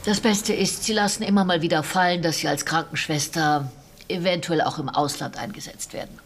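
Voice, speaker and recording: An actress playing a composed British intelligence officer in a radio drama, giving clipped, matter-of-fact instructions. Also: female voice, low-pitched, fairly quick. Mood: measured, reassuring. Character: composed British woman intelligence officer briefing her agent. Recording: loud vehicle noise behind.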